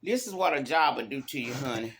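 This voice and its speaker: A woman's voice: a bass voice, lively intonation, brisk, slight background noise. A tired woman gives a casual, emphatic pep talk to viewers, folksy and fond of repeating her phrases. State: tired after work. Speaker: YouTube vlogger.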